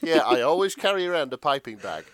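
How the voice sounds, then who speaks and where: A man's voice: a little high, very animated, fast talker, fairly bright tone, no echo. A man co-hosting in casual comedic chat, reacting to a reading.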